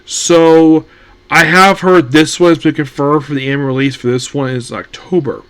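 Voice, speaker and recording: A man with a slightly high voice talks through anime news in a loose, meandering way. He stops and restarts often, filling gaps with ums and uhs while he thinks. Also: steady tone, normal speed, smooth. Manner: rambling, many fillers and restarts. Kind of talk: casual solo commentary.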